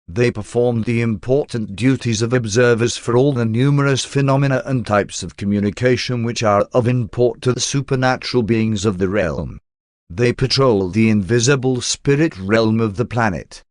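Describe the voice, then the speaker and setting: A man narrating a scripted text in a measured, formal way, like an audiobook reader. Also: medium pitch, no echo, studio quality.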